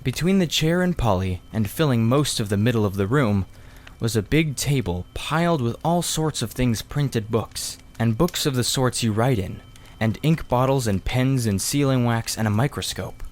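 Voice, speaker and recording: A male audiobook reader narrates a children's story. His voice is medium in pitch, and the delivery is that of scripted storybook narration. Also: animated, normal speed.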